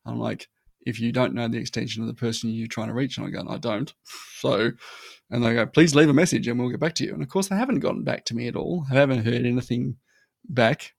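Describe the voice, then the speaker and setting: A man's voice: medium pitch, fast, gravelly, breathy edge, no room sound, clean studio sound. A man talking casually as an interview guest, emphatic, often checking in with a short "right?" after his points. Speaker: podcast guest. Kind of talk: casual conversational interview talk.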